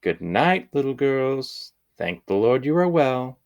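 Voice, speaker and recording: A man voicing a worried, kindly woman caretaker from a storybook, earnest and gently fussing. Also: mid-range voice, very animated, takes it slowly, pitched above the performer's own voice. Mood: soothing.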